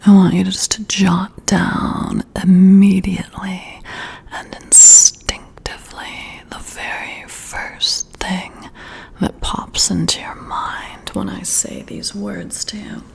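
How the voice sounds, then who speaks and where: A woman playing a flirty, confident female boss in a breathy, whispered ASMR roleplay, teasing and coy with deliberate, drawn-out phrasing. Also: medium pitch, flat delivery, a little mellow, heavily acted. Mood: confiding, controlled.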